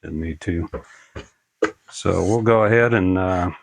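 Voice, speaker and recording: Older male how-to presenter, creaky bass voice, plain-spoken and casual, halting delivery. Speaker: presenter of a radio repair tutorial. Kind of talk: casual unscripted how-to demonstration.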